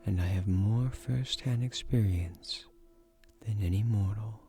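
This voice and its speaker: A man voicing an ancient, gentle guardian of a magic tree in a romantic fantasy roleplay, speaking softly and breathily in formal, earnest, persuasive words with soft hesitations. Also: fairly low pitch, unhurried, soft background music. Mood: courting, confident.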